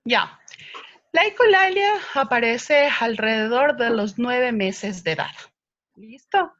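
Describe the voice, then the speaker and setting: A woman's voice: a little high, animated, slow pace, fairly bright tone, dry recording, lo-fi sound. A woman speech therapist answering viewer questions, explaining patiently with frequent hesitations.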